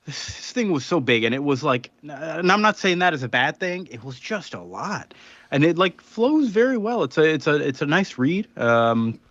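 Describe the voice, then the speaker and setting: A man's voice: fairly high pitch, big pitch swings, normal speed, fairly bright tone, dry recording. A man co-hosting a comics podcast, chatting casually and hesitantly with many fillers. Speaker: podcast co-host. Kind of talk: casual chat. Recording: dry, dull lo-fi sound.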